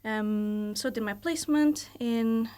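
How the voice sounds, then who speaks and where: A woman's voice: fairly high pitch, dark tone, clean tone. Thoughtful Portuguese-accented woman in her thirties. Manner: thoughtful, measured, hedges often with fillers. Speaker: guest, university lecturer. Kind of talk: casual podcast interview. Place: podcast studio.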